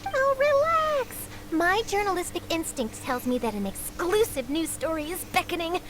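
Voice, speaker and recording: Brief: a high, lively young woman reporter, brisk and theatrical, over noisy game audio. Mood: eager. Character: eager young woman reporter chasing a scoop. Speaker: game character.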